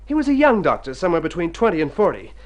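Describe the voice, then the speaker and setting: A man's voice: fairly high pitch, wildly swooping pitch, racing. A man playing a rich, personable young hypochondriac in a radio drama, exclaiming and stammering theatrically. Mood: enthusiastic. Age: young adult. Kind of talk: mid-century radio drama acting. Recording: old radio recording with music.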